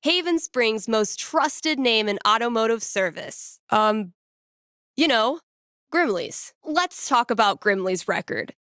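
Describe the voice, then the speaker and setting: A woman's voice: fairly high pitch, expressive, slow pace, a bit bright, dry recording. A woman playing a sardonic, candid young female radio DJ in a video game, talking in a dry, casual, conversational way. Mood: breezy, awkward promotion. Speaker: radio host.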